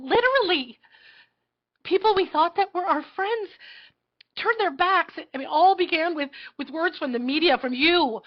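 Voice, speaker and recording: A woman playing an earnest, fearful, idealistic young girl in a live table read of a satirical play, with a halting, pleading delivery. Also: very high-pitched. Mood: distressed. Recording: dull lo-fi audio.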